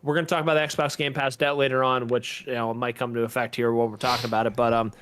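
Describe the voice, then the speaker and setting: A man's voice: medium pitch, little pitch movement, fast, fairly bright tone, clear, resonant tone. A man co-hosting a gaming podcast, chatting in an easygoing, informal, slangy way. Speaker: podcast co-host. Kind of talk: casual chat on a gaming podcast.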